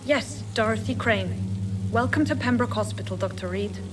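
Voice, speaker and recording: Woman acting a high-voiced, no-nonsense hospital nurse. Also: very noisy, natural voice. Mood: brisk, stern. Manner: brisk, formal, pointed. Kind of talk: period drama game voice acting. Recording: game music behind the voice.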